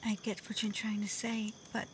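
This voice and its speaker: A woman playing a gentle, devoted kitsune in love in an intimate ASMR roleplay, speaking tenderly and hesitantly, often dropping to a breathy whisper. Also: medium pitch, completely flat delivery, moderate pace, a little hiss or hum, natural voice, low-quality recording. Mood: anguished, earnest. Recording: faint cricket and night insect ambience.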